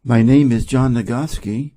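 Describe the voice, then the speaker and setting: A man's voice: medium pitch, unhurried, warm tone. A man from Wisconsin with an American accent, giving a scripted introduction as host of a reading channel. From Wisconsin, USA.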